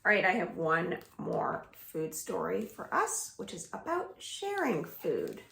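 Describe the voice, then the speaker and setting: A young woman leading a children's storytime from home, reading stories and singing rhymes in a lively sing-song way. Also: on the low side, a little slow, vocal fry, roomy sound. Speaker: children's librarian.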